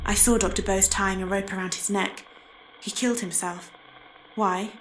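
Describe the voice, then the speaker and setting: A woman's voice: mid-range voice, fairly even intonation, normal speed, noisy background, natural voice. An actress playing an inquisitive young woman who questions plainly and directly in a horror game. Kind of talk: horror game dramatic acting.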